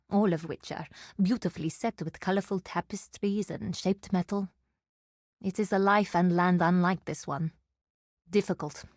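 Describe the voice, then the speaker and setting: A woman's voice: lowish voice, darkish tone, no room sound, clean studio sound, lightly acted. A woman playing a stern desert warrior woman. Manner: formal, measured, solemn. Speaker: game character. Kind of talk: video game voice lines.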